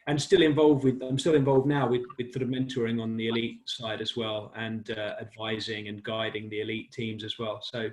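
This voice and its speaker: A man's voice: lowish voice, little pitch movement, a little fast, few pauses. Man, forties, from west london, chatty, self-deprecating, laughs easily, interview guest. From West London, England. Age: 40s. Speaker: guest, cycling commentator. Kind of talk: casual interview.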